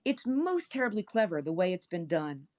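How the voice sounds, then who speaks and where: Young male lodger of seventeen, read by a woman: courteous, unsure, curious, animated storybook delivery. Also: fairly low pitch, moderate pace, voice pushed down. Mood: curious, impressed. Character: polite, puzzled seventeen-year-old boy lodger.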